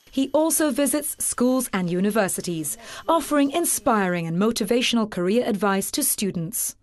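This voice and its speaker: A woman giving scripted documentary narration in a measured, formal way. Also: fairly high pitch, no echo, studio quality.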